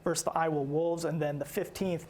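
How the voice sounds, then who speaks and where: A woman's voice: deep voice, fairly even intonation, fairly quick, dark tone. An American woman hosting a sports show, mixing upbeat scripted presenting with casual banter. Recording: music bed under the voice.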